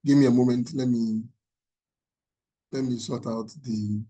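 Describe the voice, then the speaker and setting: Hoarse man presenting over a call. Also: mid-range voice, steady tone, a little slow, mellow. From Ghana. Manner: apologetic, hesitant. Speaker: seminar presenter. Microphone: online call audio.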